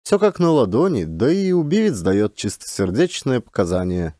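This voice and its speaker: A man's voice: medium pitch, sing-song, moderate pace, dark tone, dry recording, clean studio sound, natural voice. A man voicing a jaded, self-assured court investigator in an audiobook story, matter-of-fact and dismissive.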